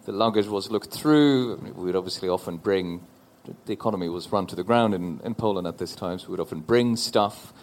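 A man from Norway in his forties speaks on a panel with a fairly low voice. He tells a personal story slowly and thoughtfully, often restarting his sentences. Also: darkish tone. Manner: thoughtful, halting with repeated restarts. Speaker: panel guest. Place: stage before a live audience.

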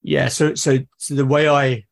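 A man's voice: mid-range voice, little pitch movement, measured pace, creaky. A man in a conversational interview as a podcast guest, explaining his creative process and often repeating words as he thinks aloud. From Britain.

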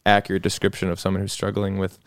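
A low-voiced man with a gravelly yet smooth voice speaks as a guest musician in a casual podcast chat. He muses thoughtfully, pausing on fillers and restarting sentences. The sound is close and dry. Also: monotone, no room sound.

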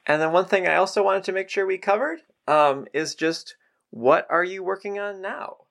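A man's voice: high voice, moderate pace, gravelly, a bit bright. An American man hosting a podcast, talking in a conversational way. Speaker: podcast host. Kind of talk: conversational interviewing.

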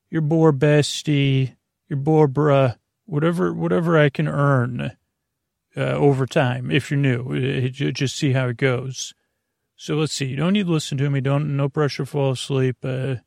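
Man, creaky voice, dry close sound, halting rambling sleep-podcast monologue, gentle deadpan silliness. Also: mid-range voice, no room sound. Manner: meandering, halting, often losing his thread; gentle, silly deadpan humor. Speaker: podcast host.

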